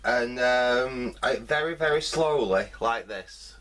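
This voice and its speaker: A man's voice: mid-range voice, slow pace, a bit bright. A man giving a casual hands-on repair commentary, thinking aloud hesitantly in fragments. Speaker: host of a vintage electronics repair video.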